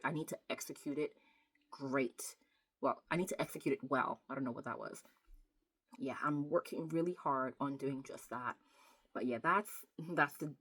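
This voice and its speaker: Low-pitched woman, emphatic casual vlogger. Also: fairly bright tone. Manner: emphatic, repeats words for stress. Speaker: book vlogger. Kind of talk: casual chatty vlog about writing.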